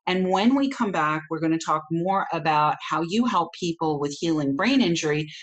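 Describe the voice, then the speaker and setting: A woman's voice: deep voice, lively intonation, normal speed. A woman hosting a podcast, interviewing a guest and making upbeat announcements. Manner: upbeat, promotional. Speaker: podcast host.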